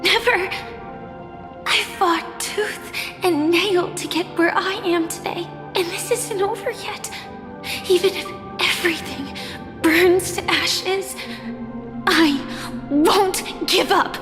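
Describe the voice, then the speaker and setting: An actress playing a gentle but resolute young woman warrior in a game, speaking with measured, earnest hope. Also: very high-pitched. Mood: defiant. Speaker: voice actor in a game cutscene. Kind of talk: scripted dramatic game dialogue. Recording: long reverb under clear music.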